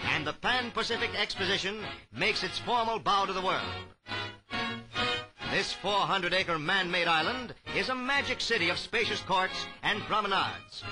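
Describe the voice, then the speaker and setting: A man's voice: high voice, low-quality recording. A man reading a scripted 1930s newsreel in a formal, grandiose manner, on a vintage soundtrack. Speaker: newsreel narrator. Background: music.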